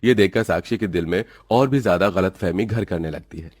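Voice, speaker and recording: Low-voiced man narrating a story. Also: brisk, darkish tone, no room sound, clean studio sound. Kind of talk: scripted cartoon story narration.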